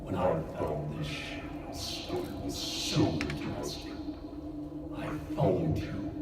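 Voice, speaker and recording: A man playing a cold, menacing hooded spirit in a live audio drama, speaking slowly and with intimidation. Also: extremely low pitch, far from the mic. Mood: cold menace. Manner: slow, intimidating. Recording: echoey live stage with loud music.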